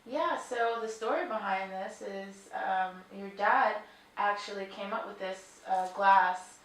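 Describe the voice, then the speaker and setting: A woman's voice: medium pitch, measured pace, fairly bright tone. A woman from New York with a New York accent, interviewing someone in a showroom in a casual, hesitant, chatty way. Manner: chatty, hesitant, laughs easily. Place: glassworks showroom. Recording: echoey room, voice a bit far from mic.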